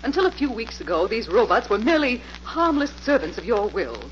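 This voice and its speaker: A dull, faintly noisy vintage radio recording of a principled young lady of the laboratory. Her voice swings with dramatic feeling, earnest and reproachful, firm even through fear, in old radio-play style. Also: on the high side, fairly quick. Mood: pleading, appalled. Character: indignant, principled female laboratory assistant, frightened but firm. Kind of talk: 1940s radio drama acting.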